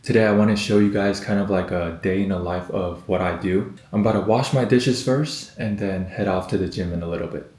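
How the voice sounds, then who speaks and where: A young man chatting through his daily routine in a relaxed, storytelling way, filling his talk with "like", his voice deep in the morning. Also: brisk, echoey room. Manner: relaxed storytelling, lots of "like" fillers. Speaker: vlogger. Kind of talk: casual day-in-the-life vlog talk.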